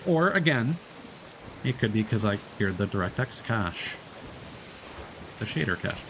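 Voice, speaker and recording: Low-voiced man chatting through gameplay. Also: very animated, measured pace, fairly bright tone, slight background noise. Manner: rambling, many fillers and restarts. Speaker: streamer. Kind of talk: casual gameplay commentary.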